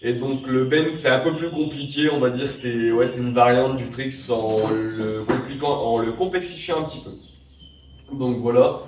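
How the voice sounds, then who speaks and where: A man's voice: mid-range voice, steady tone, measured pace, noisy background. Young man with a cold, echoey room. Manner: rambling, many fillers and restarts. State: has a cold. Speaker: vape tricks tutorial host. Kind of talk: casual how-to tutorial. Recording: echoey room, mic at a distance.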